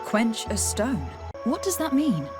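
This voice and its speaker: A composed young adventurer in a video game. She speaks in a fairly high voice, measured and full of questions, over clear background music. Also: sing-song. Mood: curious. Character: composed, inquisitive female adventurer and envoy. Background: game music under the voice.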